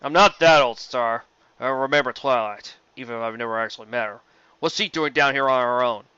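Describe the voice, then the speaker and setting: A man's voice: medium pitch, brisk, pushed and bright, clean tone, dry recording, lo-fi sound, close to an everyday voice. A man voicing a curious male pony corporal who is easily astonished, in an audiobook story. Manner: inquisitive, conversational.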